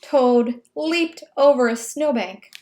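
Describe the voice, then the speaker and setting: A woman reading a children's storybook aloud in an expressive, emphatic narrating style. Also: sits high, slow pace. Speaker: storybook reader.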